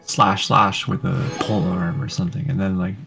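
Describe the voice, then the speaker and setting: A man's voice: deep voice, little pitch movement, normal speed, vocal fry. A man chatting casually as he comments on a game with a friend. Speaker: gamer watching and commenting with a friend. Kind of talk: casual chat. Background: game music.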